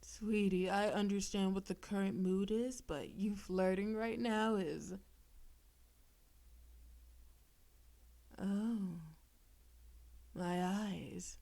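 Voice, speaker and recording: A woman voicing a possessive, seductive female demon in an intimate audio roleplay, teasing and coaxing with theatrical menace, sometimes breathy. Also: mid-range voice, steady tone, slow, warm tone. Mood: restrained, flustered. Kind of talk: intimate audio roleplay, scripted monologue to listener.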